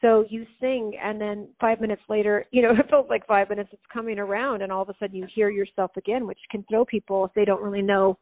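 Female singer, guest, low voice, chatty with fillers and laughs; phone-quality audio. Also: flat delivery, quick pace, few pauses. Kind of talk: casual interview chat. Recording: phone-like narrow sound.

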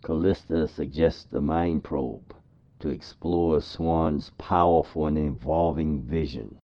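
A man narrates from a script in an extremely deep voice. He reads slowly, and the recording sounds dull and muffled. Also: steady tone, dark tone.

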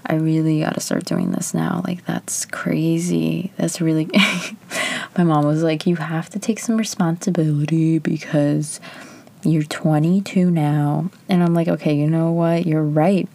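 Low-voiced chatty woman in her twenties. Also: steady tone, a little slow, vocal fry, mellow. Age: 20s. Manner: chatty, candid, frequent "like" fillers. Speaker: vlogger. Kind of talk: casual personal reflection.

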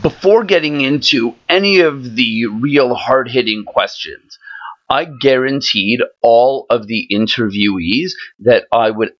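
A man hosting a podcast, reading a scripted intro and questions in a measured way. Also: mid-range voice, fairly bright tone, no room sound, highs cut off. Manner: measured, deliberate delivery. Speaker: podcast host.

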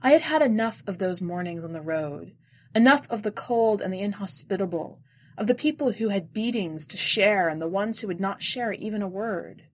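A woman reading scripted literary narration in a measured, deliberate way. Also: mid-range voice, animated. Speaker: podcast editor and story reader.

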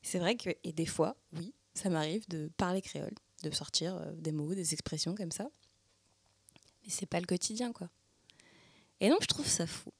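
A young adult woman from the Paris region talking through a personal reflection in a thoughtful, searching way, with many pauses and fillers. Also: on the low side, gravelly, slightly rough, no echo. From Paris region, France. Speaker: speaker sharing personal testimony.

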